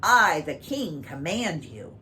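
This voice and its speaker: A woman's voice: low-pitched, very animated, takes it slowly, pushed and bright, a little hiss or hum, full character voice. A woman voicing a vain, fussy king who blusters and commands in a grand, irritable manner. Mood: pompous, irritable. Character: pompous vain fussy king, man, middle-aged.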